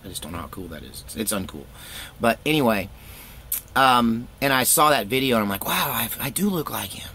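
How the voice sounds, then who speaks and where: A man's voice: medium pitch, animated, a little fast, bright, forceful tone, husky. A middle-aged man telling personal stories in a casual, rambling, laid-back way with wry self-deprecation. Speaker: storytelling vlogger, former youth pastor.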